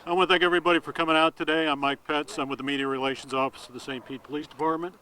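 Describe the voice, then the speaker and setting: A man acting as a police spokesman and emcee, introducing guests haltingly and stumbling over names. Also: a little high, fairly even intonation, music in the background, rough recording. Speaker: police media relations officer, emcee. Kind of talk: informal introductions at press conference.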